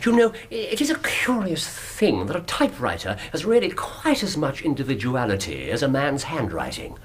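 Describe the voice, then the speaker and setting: An actor playing a brilliant, self-assured detective in a radio drama, with a British accent and a precise, dryly superior, theatrical manner. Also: male voice, mid-range voice, huge pitch swings, normal speed, slight background noise. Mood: assured, incisive.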